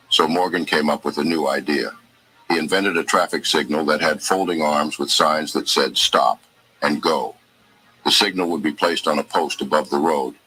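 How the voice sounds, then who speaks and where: A man narrating a countdown of inventions in a steady documentary reading. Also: a bass voice, slightly rough, poor audio. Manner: steady list-style reading.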